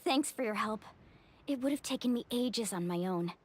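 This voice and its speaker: A woman's voice: a little high, expressive, normal speed, a little hiss or hum, lightly acted. A woman voicing a brave, spirited young warrior in a video game, upbeat, confident and encouraging.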